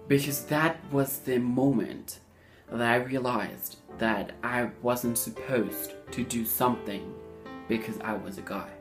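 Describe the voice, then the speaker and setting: A young man telling a personal story casually, in a hesitant way, often searching for words. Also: medium pitch.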